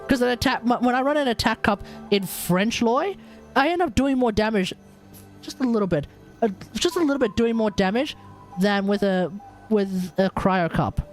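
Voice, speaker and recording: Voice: mid-range voice, normal speed. Rambling, sweary game streamer over music. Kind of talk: casual livestream chat about game builds.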